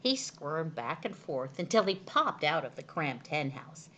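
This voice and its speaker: A woman reading a children's storybook aloud as an expressive narrator. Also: low-pitched, a little slow, slight background noise. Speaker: storytime reader.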